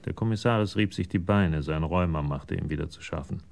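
An actor narrating a German radio crime drama as the storyteller of a police tale. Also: male voice, deep voice, fairly quick, gravelly, darkish tone.

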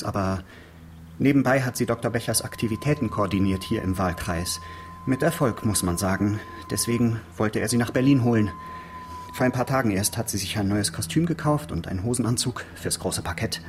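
A man's voice: on the low side, fairly quick, noisy background, close to an everyday voice. An actor playing a fussy, eager busybody of a male neighbour in a radio crime drama, precise, hesitant and mildly pompous. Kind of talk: naturalistic German radio crime drama acting.

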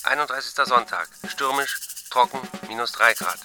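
An actor playing a terse diarist who reads entries in clipped, telegraphic bursts in a radio play. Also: male voice, mid-range voice, normal speed, pushed and bright. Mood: terse, flat report. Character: terse male diarist noting weather and chores. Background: percussive music.

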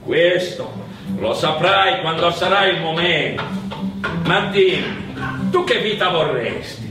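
A man plays a mysterious, portentous sage in a theatre piece. His voice is low and calm, measured in pace, set back from the microphone in a very echoey space. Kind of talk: theatrical stage storytelling for radio. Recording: very echoey stage, distant, music.

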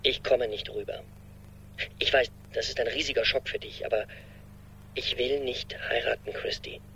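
Anxious boyfriend, bright forceful voice. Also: male voice, medium pitch, steady tone, moderate pace, vocal fry, a little hiss or hum, low-quality recording. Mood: pained, reluctant. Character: anxious, hesitant boyfriend leaving phone messages. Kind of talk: radio play acting.

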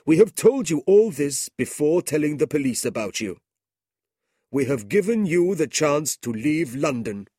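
A man's voice: on the high side, sing-song, measured pace, dark tone, dry recording, clean studio sound, pitched above the performer's own voice. A man voicing a kindly but reproachful elderly merchant in a storybook reading. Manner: reproachful, raised voice.

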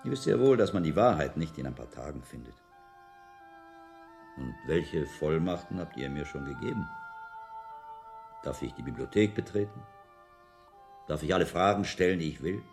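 An actor playing a shrewd, sober monk investigator in a medieval radio drama, measured, analytical and probing in his delivery. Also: very deep voice, very animated, gravelly, over music, full character voice. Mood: reasoned, insistent.

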